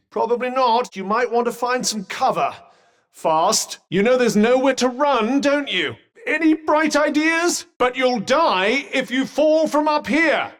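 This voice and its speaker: A sardonic talking bracelet. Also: male voice, high voice. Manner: dry, sarcastic quips. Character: sardonic sentient magic bracelet, male, witty. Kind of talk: game character voice lines.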